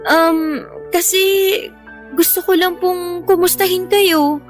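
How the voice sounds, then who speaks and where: A timid teenage girl in a radio drama, with a high voice. She speaks politely and hesitantly, pleading and eager to please, over faint music. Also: fairly even intonation, slow pace. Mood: nervous, hesitant. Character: timid, eager-to-please eighteen-year-old daughter seeking her mother.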